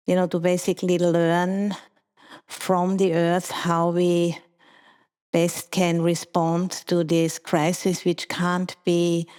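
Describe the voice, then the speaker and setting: Slow, low-voiced Austrian nun. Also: female voice, mellow. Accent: Austrian German. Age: middle-aged. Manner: slow and halting, with many fillers and restarts. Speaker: Buddhist nun and teacher. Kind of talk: dharma talk at a retreat.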